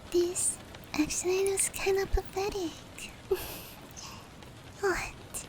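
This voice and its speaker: A woman voicing a female sleep paralysis demon in a breathy, whispered roleplay ASMR style, with hushed, close, drawn-out phrasing. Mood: mocking. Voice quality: breathy, whispery, high-pitched. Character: female sleep paralysis demon, teasing menace turning tender. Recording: steady rain noise behind voice.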